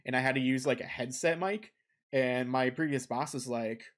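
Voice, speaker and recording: A man's voice: medium pitch, normal speed. A man co-hosting a casual gaming podcast, rambling with lots of filler ums, recorded on a desk condenser mic at a home setup. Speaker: podcast co-host.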